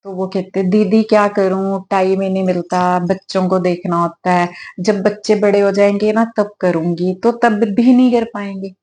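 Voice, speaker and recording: A woman with a slightly hoarse throat, clearing it now and then, talking gently and affectionately in a casual devotional vlog at her home altar. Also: mid-range voice, fairly even intonation, moderate pace. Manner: gentle, affectionate, preachy at times. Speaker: devotional vlogger.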